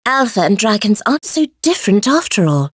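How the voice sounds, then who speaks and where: An actress playing a gentle, dreamy dragon woman in a video game, with a sleepy, delicately dramatic delivery. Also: mid-range voice, big pitch swings, brisk, husky, no room sound, studio quality. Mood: startled, playful. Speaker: voice actor. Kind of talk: scripted game character voice clips.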